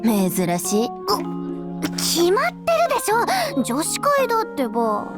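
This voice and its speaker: A woman's voice: high-pitched, huge pitch swings, brisk. A woman playing a languid, bored teenage shark schoolgirl who works as a maid, in an anime game style. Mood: casual, teasing. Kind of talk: Japanese anime game acting. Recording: loud game music over the voice. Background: loud trailer music.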